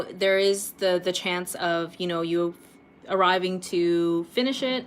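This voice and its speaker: A woman in her late twenties from Canada, chatting casually with viewers while painting on a livestream, rambling a little and filling pauses with ums. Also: on the low side, slight background noise. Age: late 20s. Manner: chatty, rambling, laughs often, many ums. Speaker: art livestream host.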